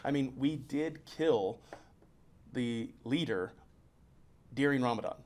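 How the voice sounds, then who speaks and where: A man's voice: mid-range voice, slow pace, darkish tone. A man co-hosting a podcast, casually reading news notes aloud and stumbling over unfamiliar place names. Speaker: podcast co-host.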